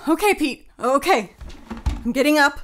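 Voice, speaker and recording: A woman's voice: high-pitched, animated, normal speed, fairly bright tone. A woman playing a frightened, bewildered, pleading woman in an audio drama, earnest and hesitant, in a roomy, noisy recording. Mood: pleading, afraid. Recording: roomy echo with clear background noise.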